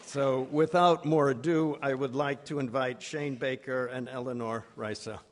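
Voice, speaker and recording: A man with a medium-pitched voice gives formal opening remarks as the director hosting an event. His delivery is hesitant and halting, as he addresses a live gathering. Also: steady tone, a little hiss or hum. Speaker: executive director introducing a program. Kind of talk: formal welcome speech at a conference. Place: live event before an audience.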